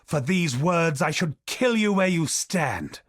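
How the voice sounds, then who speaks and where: A man voicing a fierce, vengeful warrior woman in a video game, in a raised, strained voice that breaks into shouting. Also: fairly high pitch, animated, slow pace, pitched above the performer's own voice, full character voice. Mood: threatening.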